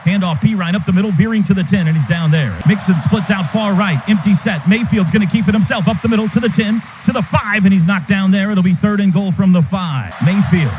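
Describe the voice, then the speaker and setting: A man doing live radio play-by-play of a football game in clipped, vivid, excitable calls. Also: high voice, fairly quick, few pauses, soft background music. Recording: radio broadcast over loud stadium noise. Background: stadium crowd noise.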